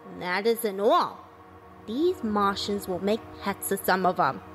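A woman's voice: mid-range voice, lively intonation, measured pace, fairly bright tone, faint music behind, low-quality recording. A woman playing a cynical, defiant survivor of an alien invasion in a radio play, with blunt, folksy, clipped phrasing. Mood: defiant, scheming. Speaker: actor in an amateur radio drama.

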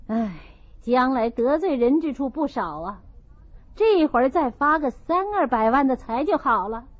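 A woman voicing a worldly, grumbling young gentleman in an audiobook, sighing and offhand. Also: sits high, animated, unhurried. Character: Jia Lian, worldly, grumbling young gentleman of great house. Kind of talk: audiobook character reading of a classical novel. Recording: dull lo-fi audio.

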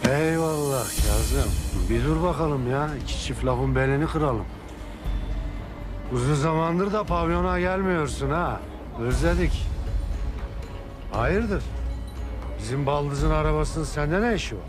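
An actor playing a quick-tempered, domineering middle-aged man who scolds and shouts in coarse slang. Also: fairly high pitch, animated. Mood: menacing. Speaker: Affan, a local strongman. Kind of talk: TV drama acting. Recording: TV drama with music score.

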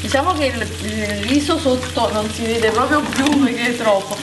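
A woman's voice: fairly high pitch, normal speed, background noise. A woman in her thirties hosting a casual cooking vlog in her home kitchen, chatty and enthusiastic, repeating words for emphasis. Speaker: cooking channel host. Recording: echoey kitchen with music behind.

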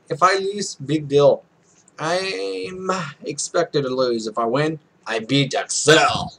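A man's voice: a little high, a bit bright, rough recording. A young man hosting a let's play, reading game text aloud with casual, irreverent, sweary asides. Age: young adult.

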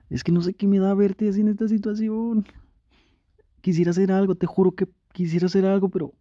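A man's voice: a little high, mellow, dry recording, lo-fi sound, voice pushed up, lightly acted. A man playing a doting, anxious young boyfriend in an intimate ASMR roleplay, tender and reassuring, repeating his words insistently.

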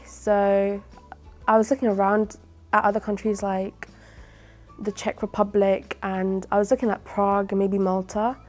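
A young woman with a London accent talks in a relaxed, chatty way, her voice mellow and warm. Faint music sits under her answers. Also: mid-range voice. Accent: London English. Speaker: medical student. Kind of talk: interview answers.

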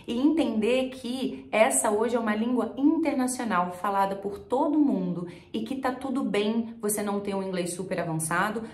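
A woman's voice: medium pitch, echoey room. Emphatic Carioca woman teaching to camera. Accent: Carioca Brazilian Portuguese. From Rio de Janeiro, Brazil. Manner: emphatic, talks straight to the viewer with rhetorical questions. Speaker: English teacher. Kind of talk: direct-to-camera lesson. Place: small indoor room with plants and shelves.

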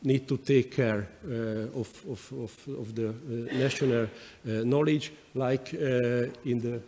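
A man whose first language is Hungarian speaks at a lectern microphone in a large conference hall, with long reverb trailing his words. He answers haltingly, stacking fillers and repeating words as he searches for them. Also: medium pitch, fairly even intonation, mellow, a little hiss or hum. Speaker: panel speaker.

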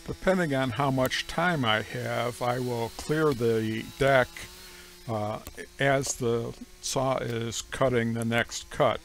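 A middle-aged man with a gravelly voice explains his sawmill in a voice-over. He talks slowly and plainly at a middling pitch. His sentences break up with frequent "uh" pauses. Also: a bit bright. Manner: slow, measured, plain-spoken with frequent "uh" pauses. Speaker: homestead sawmill operator.

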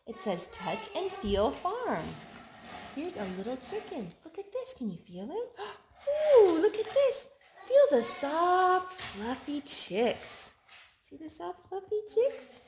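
Animated woman reading to little children. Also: very high-pitched. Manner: animated, child-directed, with gasps and drawn-out words. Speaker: parent reading to young children. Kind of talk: children's picture-book read-aloud. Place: living room at home, indoors. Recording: home recording with background noise.

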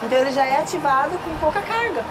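A woman in her thirties from Brazil, a personal trainer coaching a gym workout, counting reps and giving quick encouragement. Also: sits high, lively intonation, a loud crowd, rough recording. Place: large indoor gym. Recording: loud background music.

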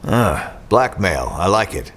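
An actor playing a shrewd, cocky mercenary in an audio drama, sardonic and confident. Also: male voice, low-pitched, big pitch swings, takes it slowly, a bit bright, a little hiss or hum, full character voice.

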